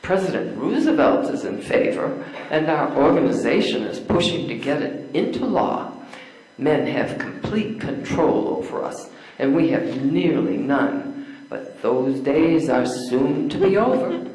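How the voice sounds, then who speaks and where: Older woman acting a low-voiced, lively opinionated spinster. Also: measured pace. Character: opinionated spinster schoolteacher, a suffragist, bluntly advising. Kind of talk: amateur readers theater acting. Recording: echoey room.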